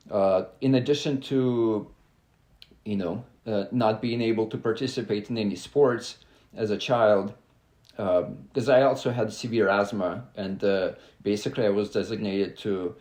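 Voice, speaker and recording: A young adult man from Belarus, a guest on a podcast, talking in a halting, earnest way with many filler sounds. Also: on the low side, darkish tone, reverberant. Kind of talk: casual interview talk.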